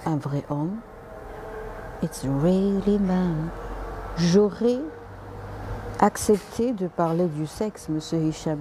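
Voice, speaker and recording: Low-voiced woman doctor, live stream. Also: mellow, a little hiss or hum, low-quality recording. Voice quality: mixes French with English and Arabic words. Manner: hesitant, restarts, polite and direct. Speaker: doctor answering live viewers. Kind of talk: live stream reading and answering comments.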